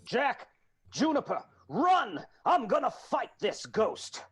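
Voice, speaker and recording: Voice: medium pitch, sing-song, slow pace. A performer voicing a brave, brawling circus strongman in an improvised radio play, heroic and exclamatory. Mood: urgent, brave. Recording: loud music behind the voice.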